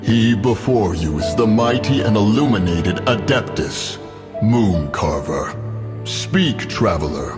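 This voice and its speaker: Proud adeptus-like beast of a fantasy game: low, unhurried, archaic and stately, over prominent music. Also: male voice, lively intonation. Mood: imperious. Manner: pompous, archaic, deliberate speech. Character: mighty illuminated immortal guardian beast, proud, archaic and solemn. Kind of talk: theatrical fantasy game voice acting.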